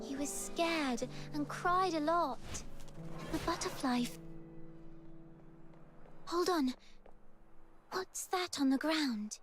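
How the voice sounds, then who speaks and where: A woman's voice: high voice, takes it slowly, pauses often. A woman voicing an anxious, earnest young schoolgirl in a video game, with a girlish voice. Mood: worried, earnest. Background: background music.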